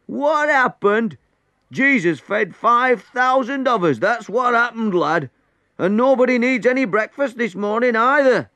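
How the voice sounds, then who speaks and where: A man's voice: very high voice, animated, measured pace, pushed and bright, dry recording, voice pushed up, exaggerated voice. A man voicing a hearty, boastful townsman calling out in a story reading. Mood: excited, boastful.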